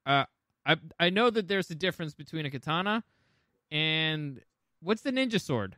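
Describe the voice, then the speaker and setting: A man co-hosting a podcast in loose, argumentative banter. His voice sits a little high for a man and is recorded very dry. He is brash and swears freely. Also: very animated, moderate pace, sharp tone, no echo. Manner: brash, foul-mouthed, argumentative. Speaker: podcast co-host. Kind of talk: casual chat, pop culture ranking banter.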